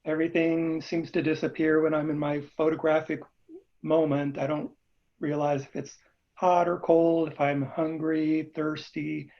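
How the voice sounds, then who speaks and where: A man joining a video call as a participant, sharing a thoughtful reflection in an unhurried way. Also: on the high side, flat delivery. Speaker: workshop participant. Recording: dull lo-fi video call audio.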